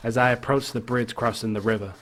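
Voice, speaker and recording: A man's voice: medium pitch, steady tone, fast talker, fairly bright tone, close to an everyday voice. A man acting as an uneasy, haunted game protagonist, narrating his own mystery story in scripted first person. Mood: uneasy narration. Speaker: narrator and main character of a game.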